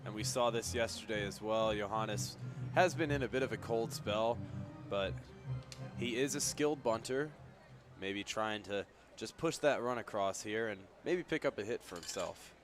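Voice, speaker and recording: A man's voice: fairly low pitch, moderate pace, faint music behind. A man giving conversational analysis as a color commentator on a live baseball radio broadcast.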